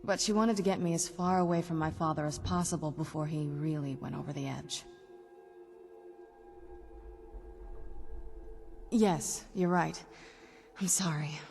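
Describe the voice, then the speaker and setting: A woman playing a young female vampire in a video game, earnest and guarded, speaking in a measured, softly intense way. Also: on the low side, gravelly. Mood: somber, reflective. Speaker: game companion character.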